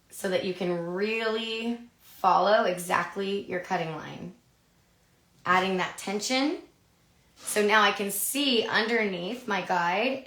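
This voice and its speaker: Raspy-voiced woman, kinda low and gravelly, chatty hair teacher talking through a cut, echoey room. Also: fairly bright tone. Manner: chatty, filler-heavy, asks "right?" often. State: recovering from a cough, losing her voice. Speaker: hairdressing educator. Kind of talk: live online haircut demonstration. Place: studio with a grey backdrop, indoors.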